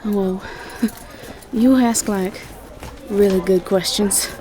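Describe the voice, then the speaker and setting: A woman's voice: mid-range voice, drawn-out words, dark tone, poor audio. A woman playing a sweet, ditzy young siren student with a Southern US accent, talking in a hesitant, rambling, casual way for an audio drama. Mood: bubbly, friendly. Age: young adult.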